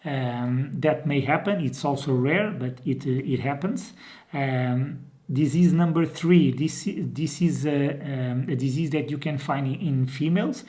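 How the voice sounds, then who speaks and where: A man's voice: medium pitch, unhurried, dark tone. A man in his forties from Portugal with a Portuguese accent, presenting an informal explainer as a breeder, repeating words for emphasis. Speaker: dog breeder and channel host. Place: indoor room.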